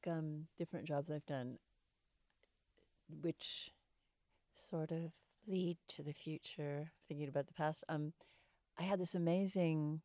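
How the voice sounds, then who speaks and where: A woman with a low, resonant voice speaks in a conversational, reflective manner. The recording is dry and close, and she sounds like an actor sharing stories in a relaxed interview chat. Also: no echo.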